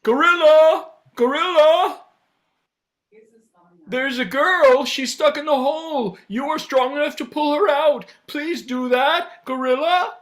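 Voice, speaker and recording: A woman voicing a timid, gentle deer who hesitates, then calls out for help. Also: high-pitched, slow. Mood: calling.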